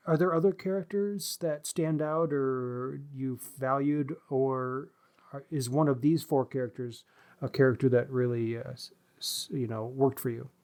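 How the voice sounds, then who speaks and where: A man hosting a casual book discussion podcast, talking in a halting way as he searches for words. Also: mid-range voice, darkish tone. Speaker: podcast host.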